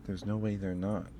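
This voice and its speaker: A man's voice: fairly low pitch, background noise. A man streaming casual ASMR chat, thinking aloud in a hesitant, informal way.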